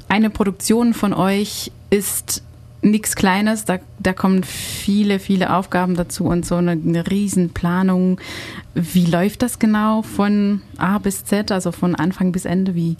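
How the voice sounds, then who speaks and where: A woman's voice: mid-range voice, fairly even intonation, a little slow, a little mellow. A woman from Belgium hosting a radio interview, chatty and hesitant with many fillers. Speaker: radio host.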